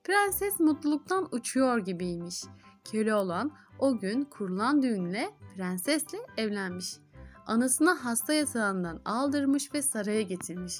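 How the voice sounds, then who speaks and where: A woman narrates fairy tales for children. She reads from a script as an expressive storyteller. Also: fairly high pitch, normal speed, faint music behind.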